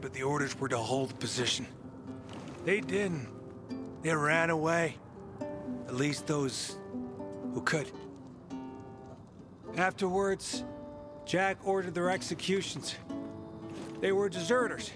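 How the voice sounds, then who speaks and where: High-voiced wry soldier. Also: male voice, slow pace, long pauses. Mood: bitter recounting. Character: wry rank-and-file male soldier telling war stories. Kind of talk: video game cutscene acting. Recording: guitar music behind the voice.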